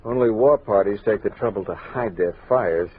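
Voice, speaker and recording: A man's voice: fairly low pitch, phone-quality sound. An actor with an American accent playing a grave, commanding army captain who narrates his expedition journal in a radio drama. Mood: brisk, commanding. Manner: measured, literary storytelling with crisp orders. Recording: band-limited 1960s studio radio recording with music.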